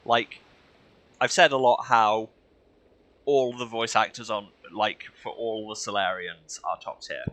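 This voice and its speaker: A man's voice: fairly low pitch, fairly even intonation, unhurried, a bit bright. A man giving casual, wry, conversational commentary as host of a video game playthrough. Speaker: let's play host.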